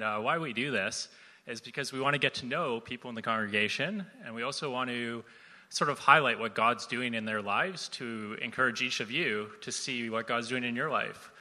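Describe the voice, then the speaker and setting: A man hosts a relaxed church interview, sounding casual, upbeat and a bit joking. His tone is very bright and sharp, and he speaks into a handheld mic. The sanctuary's long reverb trails behind his voice. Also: medium pitch.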